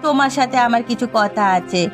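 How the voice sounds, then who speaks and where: A woman's voice: fairly high pitch, brisk, lightly acted. A woman playing an outspoken, supportive village matchmaker in a cartoon, coaxing and insistent in a melodramatic way. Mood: persuading. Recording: music behind the voice.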